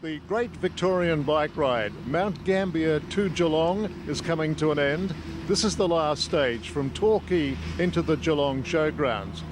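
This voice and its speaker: A man's voice: fairly high pitch, unhurried. A man with an Australian accent reporting and interviewing on location as a television news reporter. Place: outdoors on location. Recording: noisy outdoor location with vehicle ambience.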